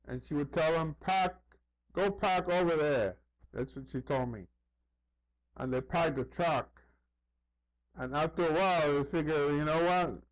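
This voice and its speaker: A man with a somewhat higher-pitched voice speaks in a loose, conversational monologue. He circles back over his phrases, drops in "you know" as he goes, and voices other people's remarks while telling his stories. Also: unhurried, pauses often, low-quality recording. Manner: conversational, repeats himself, often says "you know". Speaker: vlogger. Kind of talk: casual rambling monologue with anecdotes.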